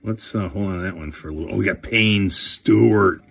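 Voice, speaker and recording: A man chatting casually and excitably while opening trading card packs, swearing now and then. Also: low-pitched, a little fast, creaky, slightly rough. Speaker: career coach and card collector host.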